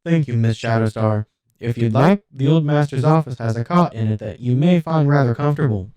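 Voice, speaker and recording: A man voicing a courteous, lonely old robot butler and museum guide in an audiobook story, with smooth, formal, apologetic politeness. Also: mid-range voice, fairly quick, a little mellow, dry recording, close to an everyday voice, poor audio. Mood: courteous, pleased.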